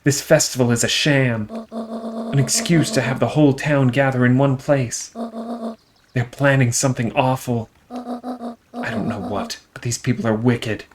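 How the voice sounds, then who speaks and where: A man's voice: mid-range voice, lively intonation, a little slow, long pauses, music in the background, lightly acted. A man voicing an outraged townswoman in a game, accusing and impassioned. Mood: urgent, accusing. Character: defiant, outraged townswoman exposing a conspiracy.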